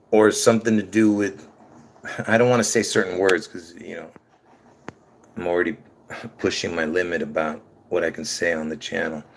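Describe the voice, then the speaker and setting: Rambling man talking to his viewers. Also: fairly low pitch. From Lebanon. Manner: rambling, restarts often, addresses viewers directly. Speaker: conspiracy video creator. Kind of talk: rambling casual monologue to camera.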